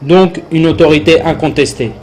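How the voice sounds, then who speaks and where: A man's voice: a little high, fairly even intonation, normal speed, a little mellow, faint music behind, lightly acted. A man playing an arrogant, worldly rich man with a condescending, boastful manner in a religious cartoon dub. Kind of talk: dubbed religious cartoon acting.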